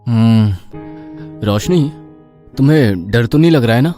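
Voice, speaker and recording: A man voicing an anxious, determined horror writer with earnest, dramatic delivery in an animated story. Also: medium pitch, a little slow, soft background music, close to an everyday voice. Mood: concerned.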